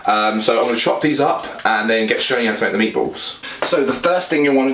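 A man's voice: fairly low pitch, a bit bright. A young man with a British accent casually explains cooking to camera in an informal, plain-spoken way as a fitness vlogger. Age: young adult. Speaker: fitness vlogger and meal prep host. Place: small home kitchen, indoors. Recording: echoey kitchen, clear background noise.

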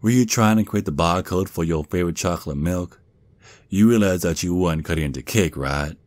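Male actor as protective werewolf father, Southern US drawl, extremely deep, taunting and menacing, ASMR roleplay, very dry recording. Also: brisk, darkish tone, no room sound, close to an everyday voice. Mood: mocking. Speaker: audio roleplay performer.

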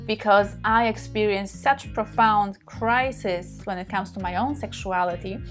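Polish lady in her 30s chatting to camera in her bedroom, laughs a lot, soft music behind. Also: medium pitch, steady tone, a little slow. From Poland. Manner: warm, open, laughs often, gestures while talking. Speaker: sex therapist, channel host. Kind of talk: casual to-camera talk. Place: small bedroom, indoors.

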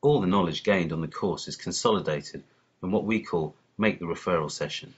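A man who is a doctor and course lead reads a scripted promotional narration in a formal, measured manner. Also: sits low, breathy edge. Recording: muffled lo-fi voice-over.

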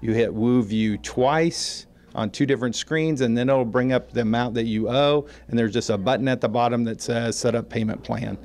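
A man's voice: medium pitch, fairly even intonation, moderate pace, few pauses, a little mellow. An American man in his fifties explaining things informally as an interviewed school counselor. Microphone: handheld interview microphone.

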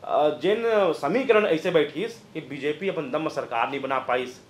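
Male political commentator, 30s, Chhattisgarhi accent, higher voice, halting explanations, roomy studio. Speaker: news presenter and political analyst. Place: indoor news studio, roomy sound. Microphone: lapel mic.

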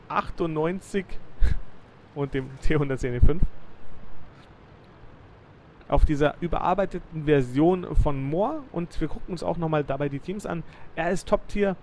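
Young man, game commentary, lively play-by-play, slightly high voice, faint background hiss. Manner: lively, excited play-by-play with frequent praise. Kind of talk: let's play commentary over a viewer's replay.

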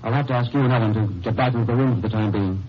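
Turncoat villain, man acting, cool, rapid delivery, 1950s radio drama, faint noise. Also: mid-range voice, close to an everyday voice. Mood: cold, curt. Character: cold, turncoat American man, calm villain. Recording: dull, noisy old radio recording.

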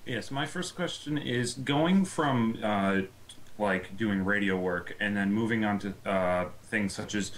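A man co-hosting a casual interview podcast, putting questions carefully with frequent pauses. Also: on the low side, poor audio. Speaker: podcast co-host.

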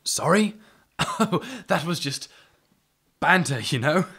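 A man's voice: a little high, expressive, slow pace, sharp tone, slightly rough, full character voice. An actor playing a vain, self-important but polite insect-man leader with grand ambitions in an audio drama. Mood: apologetic.

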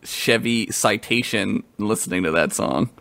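A man's voice: lowish voice, measured pace, vocal fry, fairly bright tone, no room sound. A man co-hosting a comedy podcast in casual, goofy chat, often half-singing as he jokes. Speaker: podcast co-host.

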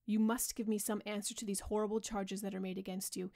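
A woman's voice: medium pitch, steady tone, moderate pace, gravelly, mellow, husky. A woman reading a grave, pleading painter.

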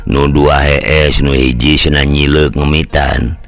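A man with a Sundanese accent voicing a calm, wise older village uncle who teaches patiently in a storybook tale. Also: rumbling low, slow, exaggerated voice. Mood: calm teaching. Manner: patient, moralizing. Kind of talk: storybook dialogue.

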